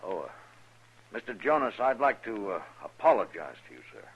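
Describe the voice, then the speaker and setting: A man acting a courteous, proper army major in a radio western, husky and politely hesitant. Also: on the low side, big pitch swings, normal speed, heavily acted. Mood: embarrassed. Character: formal, courteous army major, awkwardly humbled. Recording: dull old radio recording.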